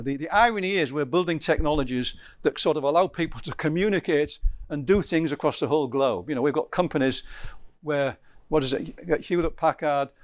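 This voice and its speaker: A man's voice: mid-range voice, no echo. A man lecturing to a visiting group in a loose, conversational way, often correcting himself mid-sentence and stressing key points. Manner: rambling, self-correcting, lively emphasis.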